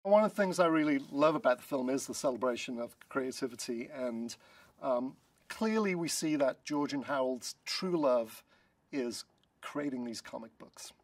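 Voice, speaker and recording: A middle-aged man, a film producer, chatting in a press interview in a studio, relaxed and full of ums and uhs. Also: mid-range voice, dry recording, recorded from a distance. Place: studio in front of a blue backdrop.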